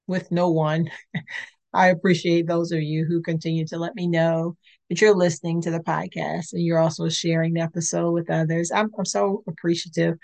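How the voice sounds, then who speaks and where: A woman with a somewhat low voice hosts a faith-based podcast. Her tone is earnest and conversational. A slight rasp roughens her speech. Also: a little mellow. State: slightly raspy voice. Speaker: podcast host and licensed clinician.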